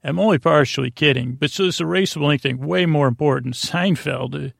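Podcast host, American man in middle age, extremely creaky and a bit high-voiced, meandering and self-interrupting bedtime delivery, dry sound. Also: brisk, dry recording. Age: middle-aged. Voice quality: very creaky, gravelly. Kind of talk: rambling bedtime monologue to help listeners sleep.

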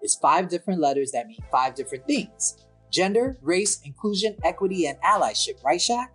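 A man co-hosting a podcast in a playful, teasing, energetic way. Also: sits high, normal speed, slightly rough, soft background music. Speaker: podcast co-host.

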